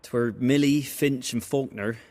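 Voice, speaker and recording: An actor playing a grief-haunted village fisherman in a fantasy video game, with a rustic, halting, emotional delivery. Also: mid-range voice, steady tone, darkish tone, lightly acted. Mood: bitter, subdued. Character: anguished village fisherman, a plain man haunted by lost love. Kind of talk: dramatic video game voice acting.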